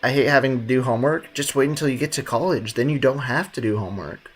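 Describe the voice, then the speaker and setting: A man's voice: mid-range voice, quick pace. A man live-streaming a game, chatting casually and cracking irreverent, slangy jokes as he reacts to it and to viewers. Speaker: game livestreamer. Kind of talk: casual chat over gameplay, reacting and answering viewers.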